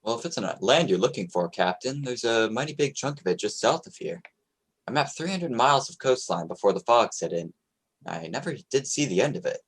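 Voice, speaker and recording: A man's voice: medium pitch, expressive, brisk, slightly rough. A man playing a young American sloop captain in a radio play, plain-spoken and commanding, with an American accent. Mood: respectful, confident. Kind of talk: radio play acting, 1940s style.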